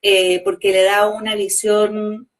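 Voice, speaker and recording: A woman in her forties with a Chilean Spanish accent, speaking as an interview guest in an unhurried, reflective way. She circles around her points with frequent fillers and restarts, at a middling pitch. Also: flat delivery. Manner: thoughtful, rambling, many hesitations. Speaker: guest, organizational psychologist and coach. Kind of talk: casual interview conversation.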